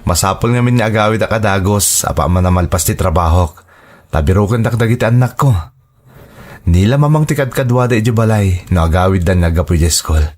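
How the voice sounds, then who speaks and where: A man's voice: fairly low pitch, lively intonation, a little fast, a little mellow. A man with an Ilocano Filipino accent playing an earnest married office worker and devoted father in a drama. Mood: earnest, wistful. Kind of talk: Ilocano radio-style drama acting.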